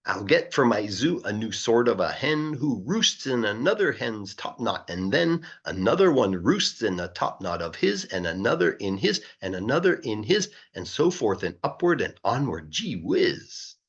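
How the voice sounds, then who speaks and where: A man voicing an eager, boastful young boy in a rhyming children's storybook, with an excited sing-song delivery. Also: fairly low pitch, moderate pace, few pauses, slightly rough, lo-fi sound, exaggerated voice.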